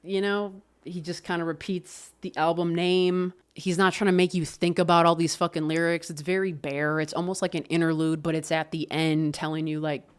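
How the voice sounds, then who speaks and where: A woman casually talking through an album review, loose and expressive, swearing and laughing often. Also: lowish voice, normal speed, hardly any pauses, smooth. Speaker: music reviewer.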